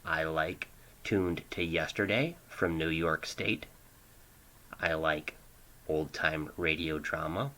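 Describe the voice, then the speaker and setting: A very low-voiced man hosts a radio program. He speaks slowly, in measured, halting phrases, with a reflective, unhurried delivery. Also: fairly bright tone. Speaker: host of an old-time radio program. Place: home studio.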